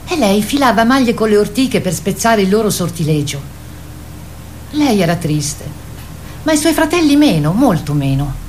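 A woman's voice: mid-range voice, darkish tone, slight background noise, close to an everyday voice. A woman playing a wistful grandmother who tells fairy tales in a radio play. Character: wistful grandmother telling a fairy tale to her fearful grandchild. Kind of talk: theatrical storytelling in a radio play.

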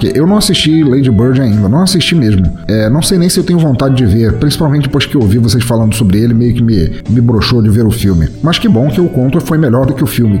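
A man's voice: medium pitch, dark tone. A man with a Brazilian accent hosting a podcast, reading out listener comments and replying in an informal, friendly way. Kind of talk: conversational reading of listener feedback.

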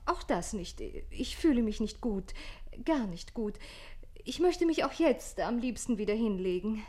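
An actress playing a proud, indignant countess in a radio play, speaking in a haughty, formal manner. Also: female voice, a little high, expressive, measured pace, mellow. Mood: evasive, uneasy. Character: proud, indignant countess, evasive wronged wife. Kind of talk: classic German radio play acting.

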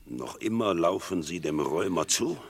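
A husky brooding enemy general. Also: male voice, low voice, lively intonation, unhurried, full character voice. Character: proud scheming enemy general, male adult. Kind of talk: classical verse radio drama.

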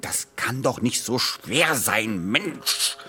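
An actor playing an impatient, demanding lawyer in a naturalistic radio play, insistent and exasperated, with a husky voice. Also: male voice, medium pitch, lively intonation, slow, bright, forceful tone, heavily acted. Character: impatient, demanding male lawyer commissioning research. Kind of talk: naturalistic German radio drama dialogue.

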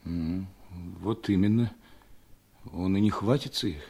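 A man's voice: low voice, a little slow, warm tone, breathy edge, full character voice. An actor playing a shifty, deferential, weak young man in a 1970s Soviet radio drama. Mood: conspiratorial. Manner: evasive, deferential. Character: shifty, weak, eager-to-please young man, dutiful son.

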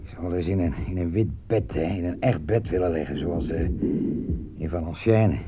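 A man playing a war-weary, plain-spoken army sergeant who talks haltingly in a Dutch radio play. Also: deep voice, breathy edge. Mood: fed up, longing. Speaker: actor. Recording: dull old radio recording with hum, clicks.

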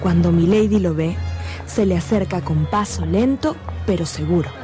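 A woman's voice: lowish voice, normal speed, dark tone, faint music behind. A woman narrator delivering scripted storytelling narration for a radio drama.

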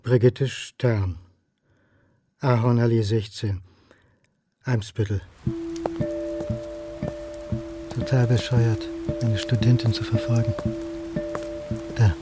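A man playing a beleaguered, stubborn university historian in a radio crime drama, earnest and halting. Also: mid-range voice, steady tone, mellow, soft background music, lightly acted. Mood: brooding, self-reproachful. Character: beleaguered male historian and university lecturer, indignant, doubting, stubborn. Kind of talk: German radio crime drama acting, dialogue and inner monologue.

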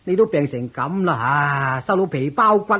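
An actor playing a grown son fussing over his sick mother in a Cantonese radio drama from Hong Kong, with a husky voice. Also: fairly high pitch, animated, normal speed, pushed and bright, heavily acted. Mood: exasperated. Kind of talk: Buddhist radio drama acting.

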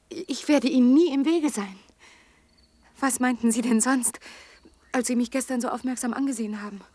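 Radio drama: lonely girl of twenty, lightish pitch, husky breath, imploring and proud, slipping toward whispers. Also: a little mellow. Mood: pleading, agitated. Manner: urgent, imploring, emotionally open. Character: lonely twenty-year-old woman, hurt, pleading yet proud. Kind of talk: intimate German radio drama acting.

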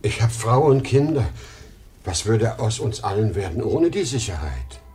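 An ageing, dreamy salesman at the end of his strength. His pitch leaps hugely from hushed murmurs to cries, in an emotional vintage radio drama style. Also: big pitch swings, measured pace, faint music behind. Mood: unsure, defensive. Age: older. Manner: emotional, swinging between outcry and murmur. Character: desperate, worn-out ageing travelling salesman, proud and dreamy. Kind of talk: 1950s German radio drama acting.

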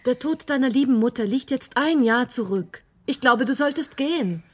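An actress playing a caring, quietly resolute young woman in a radio drama, gentle, earnest and encouraging. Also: high-pitched, animated, normal speed. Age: young adult.

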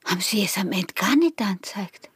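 An actress playing a young, defiant and teasing peasant wife in a radio drama, with a husky, breathy voice and an Austrian rural dialect. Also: female voice, mid-range voice, lively intonation, fairly quick, no echo, clean studio sound. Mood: quiet taunting. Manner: mocking, provocative.

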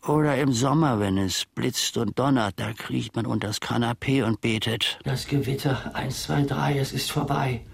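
An actor playing a grumbling, pedantic, world-weary manservant who philosophizes in a rambling, theatrical radio play monologue, with a gravelly, husky voice. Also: male voice, mid-range voice, dry recording, studio quality. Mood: musing, wry. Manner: rambling, theatrical, pseudo-learned, halting.

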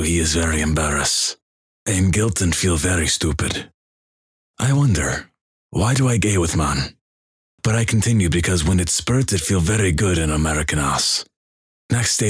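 A man's voice: sits low, husky, dry recording, clean studio sound. A man voicing an anxious, guilt-ridden young male foreign student who speaks broken English with a fake Russian accent. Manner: broken halting English, earnest deadpan delivery.

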